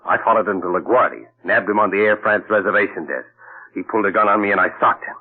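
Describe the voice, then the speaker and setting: A brash, tough sidekick. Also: male voice, fairly low pitch, fast talker, breathy edge. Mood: excited. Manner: blunt, breathless reporting. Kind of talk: 1940s radio acting. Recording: tinny old radio broadcast.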